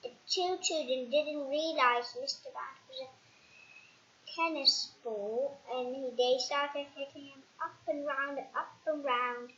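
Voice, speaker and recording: A child reading a storybook aloud in an animated way, haltingly, with restarts and dramatic emphasis. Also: high-pitched, highs cut off. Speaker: storybook reader.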